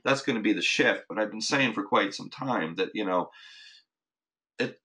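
A man with a notably low voice hosts a recorded webinar. He talks loosely and off the cuff, restarting sentences and leaning on fillers as he explains. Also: expressive, normal speed, bright, forceful tone. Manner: casual, many restarts and "you know" fillers. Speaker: host of a weekly marketing update. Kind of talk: unscripted webinar talk.

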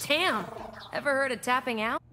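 A woman's voice: high-pitched, normal speed, close to an everyday voice. A woman playing a brash young female fighter in a video game, flippant and teasing. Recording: loud background noise.

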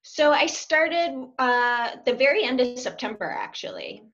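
A woman's voice: sits high, animated, a little slow, fairly bright tone. A woman in her forties, a language student, chatting over a webcam video call from a small room at home, hesitant and laughing often. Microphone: laptop webcam on video call. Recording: dull lo-fi video call audio.

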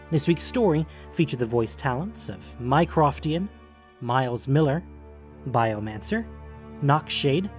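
A man's voice: a little high, a little mellow. A man reading scripted narration for a fantasy audio drama. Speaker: narrator and podcast host.

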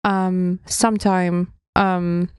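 Low-voiced woman with a smooth tone. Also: little pitch movement, extremely slow, no room sound, studio quality. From Russia. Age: 30s. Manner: thoughtful, hesitant, laughs often. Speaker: podcast guest, photographer. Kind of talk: casual interview conversation. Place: studio room with table. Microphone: studio mic with headphones.

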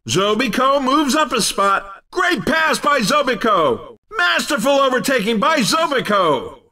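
A man playing an excitable, upbeat race commentator in a video game, calling the action in punchy exclamations. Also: very high voice, far from the mic, heavily acted. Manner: punchy, exclamatory announcer delivery, often shouting. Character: excitable male arcade racing commentator, brash and upbeat.

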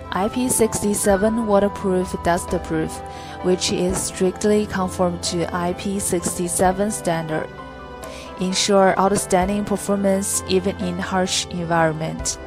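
A woman reading a scripted product tutorial in a stilted, phrase-by-phrase way. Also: mid-range voice. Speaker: product demonstrator.

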